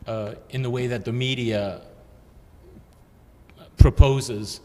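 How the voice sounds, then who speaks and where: Older male guest, mid pitch, measured and hesitant, reverberant room with faint hiss. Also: fairly even intonation, mellow. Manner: thoughtful, hesitant, with many fillers. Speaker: guest artist. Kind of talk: casual interview chat. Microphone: handheld mic.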